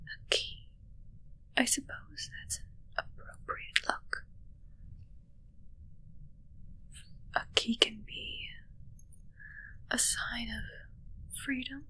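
A woman playing a possessive, commanding witch in a whispered ASMR roleplay, softly taunting and close to the microphone. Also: a little high, fairly even intonation, slow pace, long pauses, rough recording. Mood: sinister, coaxing. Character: possessive, commanding young female witch addressing her familiar.

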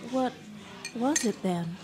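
An actress playing a lovestruck, pleading young woman in a live radio drama, earnest and breathy. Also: on the high side, expressive, takes it slowly, a little mellow. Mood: bewildered.

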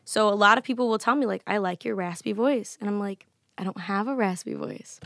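A woman in her twenties, a singer, talking candidly in a casual podcast interview. Her voice is slightly raspy, and she talks in an animated way and laughs often. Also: mid-range voice, fairly quick, no room sound, clean studio sound. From United States. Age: 20s. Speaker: guest singer. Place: small studio with colored lights and a table. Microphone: studio mic on a desk stand.

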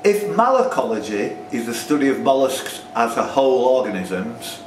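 A man's voice: mid-range voice, big pitch swings, moderate pace, a little hiss or hum. An older man, reading out pub quiz questions as quizmaster and bantering with the players. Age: older, around 60s. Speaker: quiz master. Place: pub, indoors. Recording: echoey pub, distant mic, music behind.

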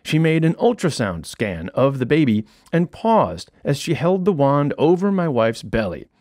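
A man's voice: medium pitch, moderate pace, no echo. A man in his fifties with an American accent, reading his own comic book aloud as an audiobook in a small studio. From United States. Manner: wry, lively storytelling with comic emphasis. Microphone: studio condenser mic with headphones. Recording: dry studio sound.

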